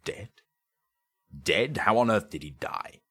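A man's voice: a bass voice, measured pace, faint music behind. A man voicing a stern, impatient and sceptical police inspector in an audiobook story, with a brusque, scornful way of questioning. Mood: incredulous.

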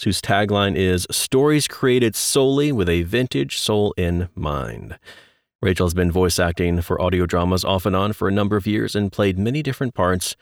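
Deep-voiced man hosting a podcast. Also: no room sound, clean studio sound. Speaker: podcast co-host and interviewer.

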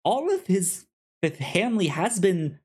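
A man co-hosting a podcast in casual film discussion, speaking haltingly as he thinks aloud. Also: sits high, very animated, a little mellow, slightly rough. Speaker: podcast co-host.

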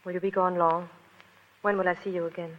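A woman's voice: on the low side, flat delivery, quick pace, narrow, tinny audio, close to an everyday voice. Guarded, worldly 1930s radio heroine played by a woman. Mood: anxious, tender. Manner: formal, guarded, brief replies. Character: guarded, worldly cabaret woman, cool and polite. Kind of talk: 1930s radio drama acting. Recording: vintage radio, hall reverb, faint noise.

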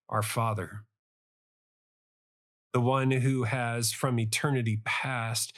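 A man preaching a Bible study podcast, his voice raspy from a cold, speaking in earnest, devout, measured phrases. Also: medium pitch. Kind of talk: Bible study sermon with prayer.

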